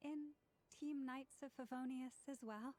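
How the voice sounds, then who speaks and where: A woman voicing a calm, matter-of-fact companion in a video game, composed and precise. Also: high-pitched, unhurried, faint music behind.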